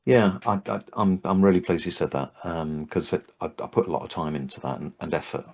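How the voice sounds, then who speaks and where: A man with a very deep, low voice, older, talking through his training work in a relaxed interview. He restarts his sentences often and thinks aloud, hesitant but friendly in tone. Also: brisk. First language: English. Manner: thoughtful, hesitant with frequent restarts. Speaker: Havening trainer and host. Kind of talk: casual interview chat.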